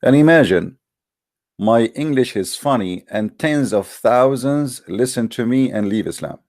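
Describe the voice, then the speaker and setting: A man's voice: medium pitch, animated, measured pace, a little mellow, no room sound, clean studio sound. A man from the Middle East, a native Arabic speaker with an Arabic accent in English, hosting a religious call-in debate with a combative, boastful, emphatic monologue in short phrases.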